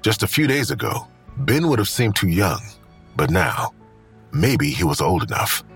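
An actor playing a grave storyteller, narrating an audio drama in the third person with a raspy voice. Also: male voice, fairly low pitch, big pitch swings, measured pace, a bit bright, faint music behind, full character voice.

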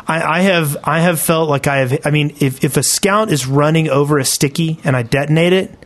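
A man with a voice a little higher than average chats as a gaming podcast co-host. He is wry and teasing, casual whether he is reading emails aloud or ribbing his friends. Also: fairly quick.

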